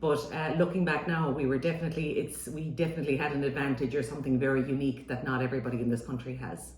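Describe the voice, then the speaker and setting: A woman's voice: rumbling low, very fast, slight background noise. Chatty woman in an echoey room. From Ireland, Gaeltacht. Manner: relaxed and chatty, many fillers and restarts. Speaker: university language officer, interviewee. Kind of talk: conversational interview answers.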